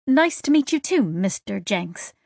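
A woman's voice: medium pitch, sing-song, unhurried, gravelly, a little mellow, no room sound, exaggerated voice. A reserved young woman, new to the crew and careful to be polite, as a female narrator reads her. She sounds tentative and courteous in a dry storybook recording.

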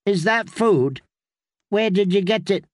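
A male narrator plays a young innkeeper girl from a storybook. The voice is raised high and close, dry in sound. The girl is weary beyond being a child, blunt and wary. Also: expressive, measured pace, dry recording. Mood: demanding, wary. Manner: blunt, questioning, demanding.

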